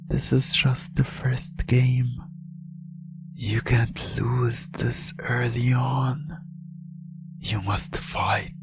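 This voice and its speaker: A man narrating slow hypnotic ASMR in the second person, in a hushed, breathy, lingering delivery. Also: mid-range voice. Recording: music behind the voice. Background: music.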